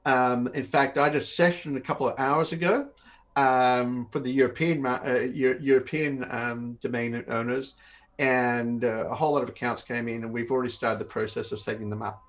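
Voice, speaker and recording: A man's voice: mid-range voice, steady tone, normal speed. A man in his fifties, born in Australia and raised in northern Canada, hosting a live webinar and explaining things step by step in a conversational way, often repeating words. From born in Australia, grew up in northern Canada. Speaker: company host of an online Q&A session. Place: home office, indoors. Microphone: video call.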